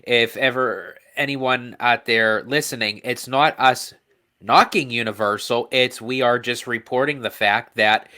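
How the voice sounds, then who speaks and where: A man co-hosting a podcast, with a nasal voice stuffed up by allergies, easygoing and quick to laugh. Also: mid-range voice, steady tone, bright, forceful tone. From Pennsylvania. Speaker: podcast co-host. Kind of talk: casual chat.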